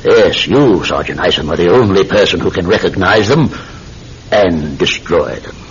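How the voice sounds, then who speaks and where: An actor playing a sinister, controlled hypnotist posing as a polite tobacconist, with deliberate, theatrical delivery in an old-time radio drama. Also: male voice, sits low, animated. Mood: commanding. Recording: muffled, hissy old radio recording.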